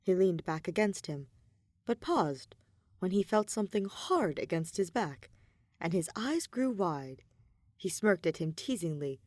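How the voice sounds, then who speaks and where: A woman's voice: on the low side, expressive, measured pace, a little mellow, dry recording, clean studio sound. A woman reading scripted romantic fiction as a narrator. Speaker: podfic narrator.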